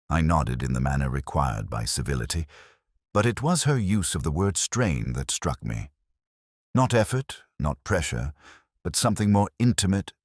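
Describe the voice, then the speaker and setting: A man performs a melancholy, watchful police inspector narrating a detective audio drama. His voice is extremely low, with measured, literary phrasing and a brooding manner. The sound is dry, with hardly any room to it. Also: slightly rough, no room sound, full character voice. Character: melancholy, observant male police inspector recounting a case.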